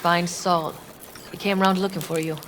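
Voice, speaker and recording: An actress playing a caring, steady nomad ally in a video game. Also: female voice, lowish voice, moderate pace, a little hiss or hum, lightly acted. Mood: gentle, caring.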